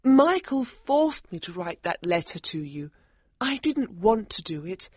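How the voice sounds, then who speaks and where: A man voicing a worried young woman who pleads softly and urgently, in a read-aloud story. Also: high voice, big pitch swings, unhurried, husky, no echo, highs cut off, voice pushed up, heavily acted.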